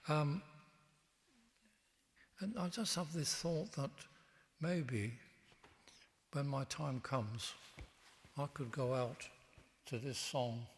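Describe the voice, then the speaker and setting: A man speaking as an interview guest in a church, reflective and halting, with frequent restarts. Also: mid-range voice. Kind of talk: reflective conversation. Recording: long church reverb.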